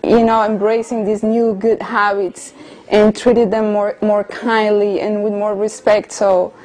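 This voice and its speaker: A woman of about thirty, speaking English in a middle-pitched, everyday voice. She tells her personal story earnestly and unhurriedly, with small hesitations between thoughts. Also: little pitch movement, unhurried, darkish tone, a little hiss or hum, poor audio. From Colombia. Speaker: interviewee. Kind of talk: personal testimony in conversation.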